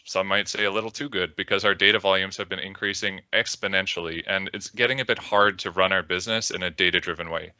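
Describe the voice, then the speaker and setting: A man from Canada with a Canadian accent, giving a measured technical presentation on a video call with occasional "uh"s. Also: deep voice, pushed and bright, smooth, no room sound, dull audio. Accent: Canadian English. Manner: measured, deliberate, with filler "uh"s. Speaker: conference speaker.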